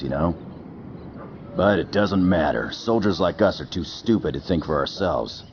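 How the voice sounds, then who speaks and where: A man's voice: creaky, slight background noise, full character voice. A man playing a weary, jaded air force captain in a video game, talking in a wry, resigned, colloquial way. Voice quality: deep. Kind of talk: game cutscene voice acting.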